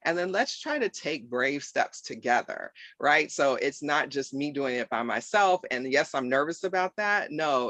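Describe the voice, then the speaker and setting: Bright, dry-voiced man, thoughtful and measured. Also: mid-range voice, dry recording. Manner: thoughtful, measured, checking in with "right?". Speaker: guest from a nonprofit association. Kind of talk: reflective conversation.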